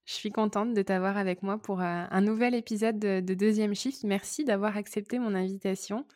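A woman hosting a podcast, reading her introduction and then asking relaxed questions with a few fillers. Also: mid-range voice, brisk, no room sound, clean studio sound. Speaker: podcast host. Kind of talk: scripted intro then interview.

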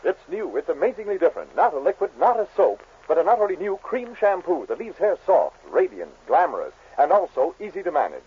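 A man's voice: sits high, very animated, fairly quick, hardly any pauses, noisy background. An American man delivering an emphatic, salesmanlike scripted commercial in 1940s radio style, on a muffled old recording. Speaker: commercial announcer.

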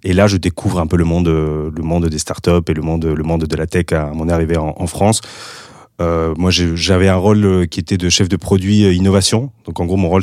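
Low-voiced Spanish man, halting speech. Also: a little mellow, no room sound, clean studio sound. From Spain. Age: 30s. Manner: hesitant, many restarts and fillers. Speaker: guest. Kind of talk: casual interview talk.

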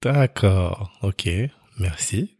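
A man's voice: low voice, expressive, extremely slow, mellow, breathy edge, no room sound. A man hosting an interview podcast, reading a scripted opening and then asking short, affirming follow-up questions. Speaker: podcast host.

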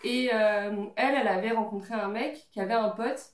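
A woman's voice: fairly high pitch, fairly quick. A woman in her twenties, a podcast guest, telling stories casually with frequent laughs and fillers. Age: 20s. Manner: chatty storyteller, laughs often, many fillers. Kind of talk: casual chat among friends. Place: living room, around a coffee table. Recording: roomy sound from a distant mic.

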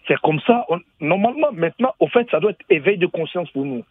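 A male caller on a tinny phone line with a slightly higher, husky voice. He stammers and repeats words as he talks. The sound is dry. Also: expressive, fast talker, dry recording. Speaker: radio caller. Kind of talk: phone-in opinion.

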